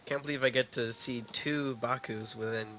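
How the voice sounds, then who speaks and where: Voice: mid-range voice, fairly even intonation, normal speed. A game streamer giving casual reaction commentary, informal and thinking aloud.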